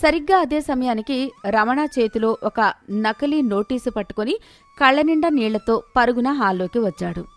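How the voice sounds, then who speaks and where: A woman reads a scripted moral tale as its storyteller. Her voice is on the high side and expressive, set over soft background music. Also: normal speed.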